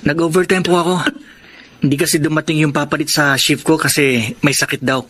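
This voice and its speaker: A dutiful young working son in a radio drama, around twenty, with a somewhat high voice. He talks earnestly and caringly, like someone holding his family up. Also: fairly even intonation, fairly quick, a bit bright, breathy edge. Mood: caring, tired. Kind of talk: Tagalog radio drama acting.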